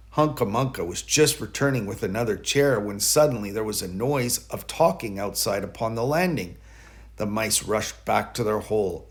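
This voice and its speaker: A man narrating a children's bedtime story in an expressive way, leaning dramatically on key words. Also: lowish voice. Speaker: storybook reader.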